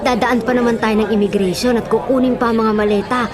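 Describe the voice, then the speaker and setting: A woman plays a chatty, fussing middle-aged wife in a Filipino radio drama. She speaks expressively in a slightly high voice, over clear music and the bustle of a crowd. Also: fairly even intonation, fast talker, lightly acted. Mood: excited. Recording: busy crowd sound and music behind.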